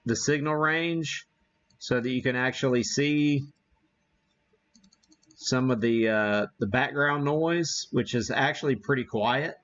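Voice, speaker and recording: Slow-talking man on a muffled live stream. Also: medium pitch, a bit bright. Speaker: ham radio live stream host. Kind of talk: casual live demo commentary.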